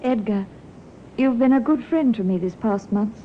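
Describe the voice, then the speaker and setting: A woman playing a genteel, educated young Edwardian wife in a 1950s radio drama, refined and measured. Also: on the high side, close to an everyday voice. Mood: confiding. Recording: muffled, hissy old radio recording.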